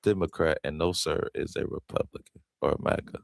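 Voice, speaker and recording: A man with a very deep, gravelly voice hosts a live open panel. He questions callers in a laid-back way. His voice is close and dry. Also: normal speed, dry recording. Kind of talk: casual live panel talk.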